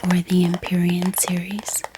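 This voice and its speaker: A woman speaking in soft, gentle ASMR style, showing items and softly repeating words. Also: on the low side, completely flat delivery, measured pace, noisy background. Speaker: ASMR book haul host. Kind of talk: soft-spoken whispered ASMR show-and-tell.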